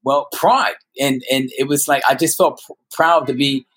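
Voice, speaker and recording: A man in his fifties telling personal stories as a podcast guest, speaking earnestly and haltingly, repeating words for emphasis. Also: medium pitch, steady tone, fairly bright tone, slightly rough. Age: 50s. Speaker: guest storyteller. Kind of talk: personal storytelling in a conversational interview.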